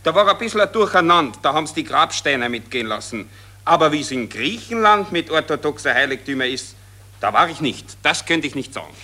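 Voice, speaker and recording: A man's voice: a little high, sing-song, brisk, pushed and bright, heavily acted. An actor playing an agreeable male companion in a radio play, chiming in with brief, dismissive interjections. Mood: chummy.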